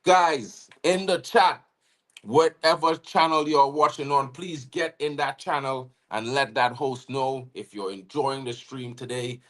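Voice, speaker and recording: A man from Trinidad and Tobago with a Trinidadian accent, co-hosting a live football watchalong in casual chat, giving shout-outs to viewers and often saying "you know what I mean". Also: mid-range voice, unhurried. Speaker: watchalong co-host.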